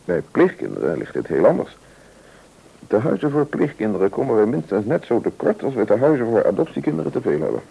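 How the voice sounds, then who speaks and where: Deep, gravelly, formal Dutch official. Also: male voice, wildly swooping pitch, dark tone, exaggerated voice, rough recording. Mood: measured, cautious. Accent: Netherlands Dutch. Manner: formal, measured, businesslike explaining. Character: sober, cautious male adoption official. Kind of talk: Dutch radio play acting.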